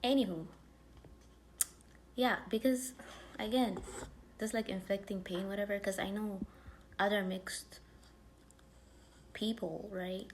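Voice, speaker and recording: A woman's voice: medium pitch, fairly even intonation, slow, pauses often, a little hiss or hum, poor audio. A woman vlogger chatting to camera in a rambling, casual way, full of fillers and dropping now and then into whispery asides. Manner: drifting, many fillers, drops into whispery asides.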